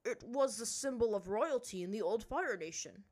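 A woman voicing a dignified older male high chief, formal, measured and authoritative, in a storybook narration. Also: expressive, darkish tone. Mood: humble, reverent.

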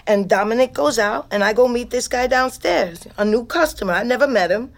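A woman's voice: on the high side, fairly quick, lightly acted. An actress playing a bitter, foul-mouthed woman just out of prison, in naturalistic audio drama with slangy, emphatic delivery. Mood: resigned, explaining. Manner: streetwise, slangy, profane, emphatic.

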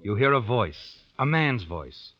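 Forceful, insinuating suspense narrator. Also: male voice, mid-range voice, big pitch swings. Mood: ominous, insinuating. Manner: insinuating, deliberate. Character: ominous, knowing male narrator addressing the heroine. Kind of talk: dramatic second-person radio suspense narration. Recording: dull old radio broadcast recording. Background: dramatic music.

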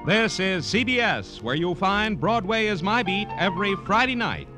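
A man with an American accent announcing formally for 1950s radio, polished, measured and declarative. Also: high-pitched, animated. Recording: vintage radio recording, music behind.

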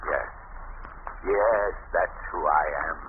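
A menacing greedy killer in an old radio show, gloating, slow and theatrical, tinny hissy sound. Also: male voice, sits low. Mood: gloating menace. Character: crazed, greedy male murderer cornered in an abbey. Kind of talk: 1930s-40s radio melodrama acting. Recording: noisy, narrow-band old radio recording.

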